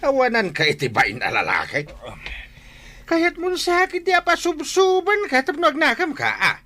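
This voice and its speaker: High-pitched, scolding old father. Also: wildly swooping pitch, normal speed, pushed and bright, slight background noise, full character voice. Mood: angry, scolding. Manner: exasperated, lecturing. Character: stern, scolding old father of a married son. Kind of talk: radio drama acting.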